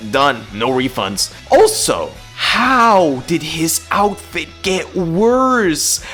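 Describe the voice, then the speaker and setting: A YouTube host performs a comedic rant with highly animated pitch that swings up and down. The delivery is theatrical and exaggerated, stretching words and breaking into outbursts. Music plays behind the voice. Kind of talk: comedic scripted rant commentary. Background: background music.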